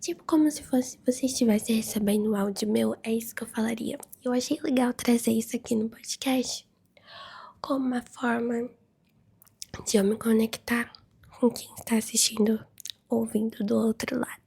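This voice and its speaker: A Brazilian woman hosting a podcast, chatting casually and giggling as if sending a voice message, hoarse and nasal from a flu so her voice keeps dropping to a whisper. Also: fairly high pitch, steady tone, normal speed, warm tone. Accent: Brazilian Portuguese. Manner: chatty, giggly, talks straight to listeners. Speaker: podcast host.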